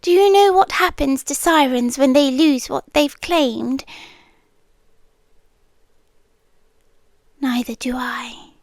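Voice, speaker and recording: A woman's voice: high voice, dry recording, studio quality. A British woman playing a centuries-old possessive siren girlfriend in an ASMR roleplay, tender yet menacing, often sinking into whispers. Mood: quiet menace. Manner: slow, hushed, dropping often into whispers. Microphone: close, dry microphone.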